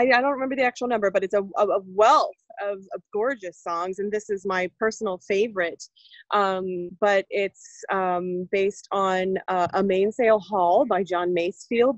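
A woman's voice: fairly high pitch, fairly bright tone, no echo. A woman in her thirties, a classical singer, chatting eagerly about a song over a video call, with plenty of fillers. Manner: chatty, enthusiastic, frequent fillers. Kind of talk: casual interview chat. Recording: dull lo-fi video call audio.

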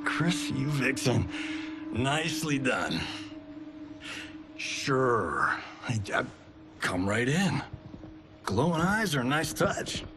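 Brief: a wisecracking game-cutscene movie star, lively sarcastic delivery, slow drawl. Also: male voice, mid-range voice. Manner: sarcastic, joking, laughs often. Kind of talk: video game cutscene acting. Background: music.

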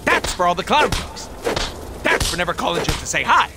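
A man's voice: sits high, big pitch swings, a little fast, loud music behind the voice. A man playing a manic, childish, cocky mercenary antihero in a video game, with a hyperactive, mocking, theatrical delivery. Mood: mock angry.

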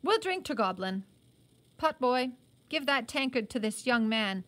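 A woman's voice: a little high, very animated, fairly bright tone, voice pushed up. Woman reading as an arrogant, hearty young British officer. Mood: brisk, hearty. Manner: brisk, commanding, barked questions and orders. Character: arrogant, hearty young British army lieutenant, good-humoured under bluster.

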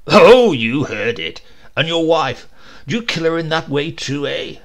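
A man voicing a gruff, sly police brigadier in a storybook tale, with a sardonic, knowing manner. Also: on the high side, very animated, unhurried, pitched above the performer's own voice. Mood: amused, teasing.

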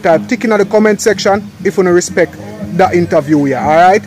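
A man's voice: sits high, a little fast, a little mellow. A young Jamaican man vlogging on a rural road into a handheld phone, chatty and calling his viewers peeps and guys. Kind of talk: walking vlog and street interview. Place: outdoors on rural hillside road. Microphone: handheld phone camera. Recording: outdoor phone audio with clear background noise.

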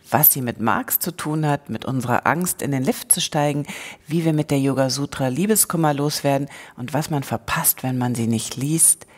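A woman hosting an interview podcast, speaking clearly and articulately with a lightly ironic edge. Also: low voice, a little mellow, roomy sound. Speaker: podcast host.

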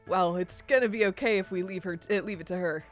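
A woman's voice: fairly low pitch, fast talker, soft background music. A woman giving casual, jokey gameplay commentary as an agreeable co-host. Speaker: let's play co-host.

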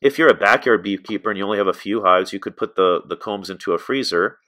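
American male instructor, middle-aged, slightly low pitch, crisp bright tone, informal explanatory delivery. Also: quick pace. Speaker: beekeeping instructor. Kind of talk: informal narrated slide lesson.

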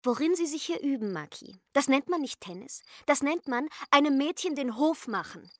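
A woman's voice: high voice, lively intonation, moderate pace, no room sound. An actress playing a pert, prickly young upper-class girl in a radio play, with a mocking, formal and precise delivery. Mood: scornful.

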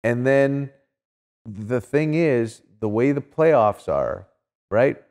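Male sports pundit, middle-aged, dark relaxed tone, emphatic, reverberant room. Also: mid-range voice, slow. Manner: emphatic, checks in with "right?". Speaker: sports commentator guest. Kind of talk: casual opinionated sports talk. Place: small indoor studio set with couches.

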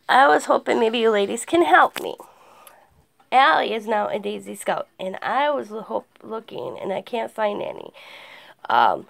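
A hoarse woman with a cold, chatting casually as she walks through her planner pages item by item. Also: mid-range voice, no echo. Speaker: planner vlogger. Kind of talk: casual show-and-tell narration. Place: indoors at a craft desk. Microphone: camera pointed down at the desk, speaker off-screen.

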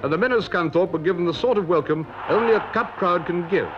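A man's voice: sits high, fast talker, noisy background. A British man reading scripted narration as a reporter on a 1950s newsreel. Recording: dull 1950s newsreel soundtrack. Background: music.

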